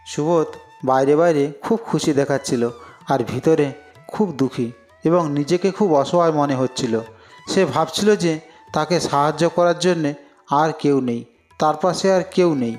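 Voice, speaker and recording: A man's voice: on the high side, normal speed, darkish tone, faint music behind. A man giving scripted narration for a cartoon moral story as its storyteller.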